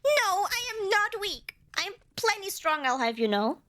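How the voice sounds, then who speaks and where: Woman voicing a high-pitched, proud little demon girl. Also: sing-song, normal speed, a bit bright, exaggerated voice. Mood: indignant, boastful. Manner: indignant, boastful, hedging and self-correcting. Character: tiny cute female demon, proud, flustered, bargain-loving. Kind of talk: ASMR roleplay addressed to the listener.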